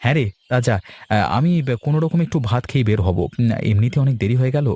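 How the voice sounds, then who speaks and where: A man's voice: medium pitch, fast, warm tone, a little hiss or hum, close to an everyday voice. A man playing a busy, kindly village farmer in a cartoon, explaining things in an avuncular way. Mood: advising. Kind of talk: cartoon dialogue acting.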